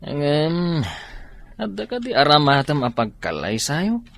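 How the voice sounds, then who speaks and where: An easygoing, teasing buddy in a radio drama. He drawls slowly, with a very lively pitch that leaps around as he exclaims and pries. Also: gravelly, soft background music, full character voice. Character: nosy, joking, easygoing male buddy. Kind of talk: Ilocano radio drama acting.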